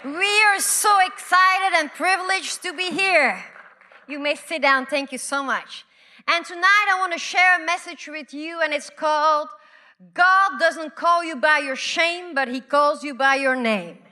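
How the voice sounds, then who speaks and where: Slow, bright-voiced woman preacher from Belgium. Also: high-pitched, some chatter behind. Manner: dramatic swings from loud to hushed whispers; slow, deliberate delivery with repeated phrases. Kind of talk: sermon.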